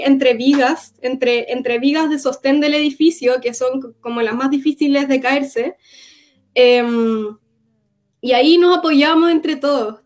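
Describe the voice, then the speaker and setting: A young woman from Gaza giving an earnest, reflective personal testimony in an interview, often pausing to recall. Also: high voice. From Gaza, Palestine.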